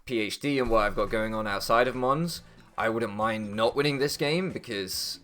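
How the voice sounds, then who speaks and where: A man's voice: medium pitch, normal speed, fairly bright tone. A young man doing casual strategy game commentary, thinking aloud and deliberating as he goes, with hesitations. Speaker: gaming YouTuber.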